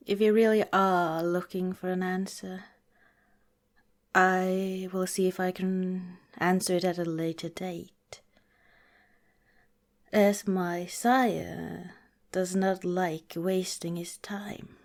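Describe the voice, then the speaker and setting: A woman playing a protective vampire girlfriend in an ASMR roleplay, speaking softly and deliberately, partly in a whisper, earnest and cautioning. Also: lowish voice, level tone. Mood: cautioning, serious. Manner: soft, deliberate, breathy whispering in places.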